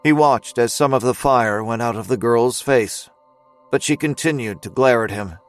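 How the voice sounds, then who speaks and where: A man narrating a scripted dramatic story as its storyteller, in a measured, suspenseful manner. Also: mid-range voice, no room sound, soft background music, natural voice.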